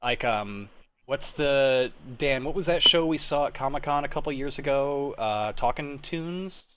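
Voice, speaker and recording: A man's voice: mid-range voice, lively intonation, measured pace, background noise. A man in his thirties hosting a casual group chat, talking in an informal, rambling way and often interrupting himself. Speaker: host.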